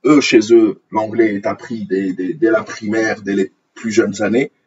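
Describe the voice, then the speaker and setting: A man's voice: fairly low pitch, fairly even intonation, moderate pace, darkish tone, slightly rough, muffled recording. A man from Algeria, a native Arabic speaker, giving indignant, opinionated news commentary and repeating phrases for emphasis. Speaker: online commentator. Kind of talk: opinionated commentary on news articles read aloud.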